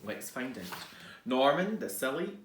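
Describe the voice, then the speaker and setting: Slow, expressive storybook reader, male, echoey room. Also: medium pitch, slight background noise. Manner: expressive, dramatic pauses and hushed asides. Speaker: teacher reading to pupils. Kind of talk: animated children's storybook reading. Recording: roomy, echoing indoor sound.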